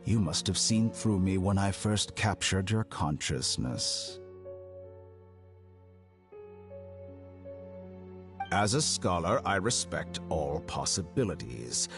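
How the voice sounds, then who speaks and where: An arrogant scholar villain for a video game, with a low voice that swings expressively through measured, unhurried phrases. He sounds coolly amused, formal and condescending. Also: expressive, over music. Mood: amused, calculating. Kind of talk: theatrical video game voice acting.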